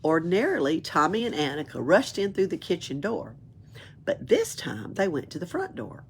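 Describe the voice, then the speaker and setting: A low, gravelly woman's voice reads a children's book over noticeable background noise. The storytelling is expressive, suited to young listeners. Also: moderate pace. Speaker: library storyteller. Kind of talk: reading a children's book aloud.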